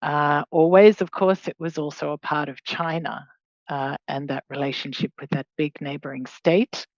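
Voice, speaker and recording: A woman's voice: deep voice, vocal fry, dry recording, dull audio. A middle-aged Australian woman giving an academic webinar lecture in a conversational, explanatory way, with hedging asides. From Australia, raised in Hong Kong. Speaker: law professor, guest lecturer.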